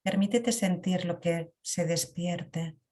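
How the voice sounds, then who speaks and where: Woman talking you thru a meditation, kinda low voice, calm, lots of pauses. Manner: slow, calm, reflective guidance with long pauses. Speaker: meditation guide. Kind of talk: guided emotional meditation.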